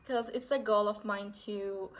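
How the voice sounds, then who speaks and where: A woman's voice: medium pitch, steady tone, measured pace. A young woman from Turkey with a Turkish accent, talking casually to camera in a vlog and often correcting herself with "I would say". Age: young adult. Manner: chatty, self-correcting, frequent "I would say". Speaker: author vlogger.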